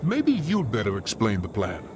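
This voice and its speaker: A man playing a confident, roguish soldier leader in a video game, brisk, informal and reassuring. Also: fairly low pitch, huge pitch swings. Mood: brisk, determined. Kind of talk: dramatic video game cutscene acting. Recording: music behind.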